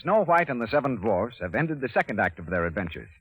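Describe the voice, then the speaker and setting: A man's voice: mid-range voice, sing-song, fast, loud music behind the voice. A man working as a radio announcer, reading scripted links in the style of the 1930s. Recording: muffled vintage 1930s radio broadcast.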